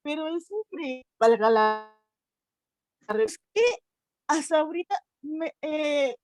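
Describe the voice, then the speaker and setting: High-voiced teenage Mexican girl, earnest. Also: animated, takes it slowly, faint music behind. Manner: earnest, searching for words, frequent restarts and rhetorical questions. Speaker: essay contest participant. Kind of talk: unscripted talk at a youth colloquium.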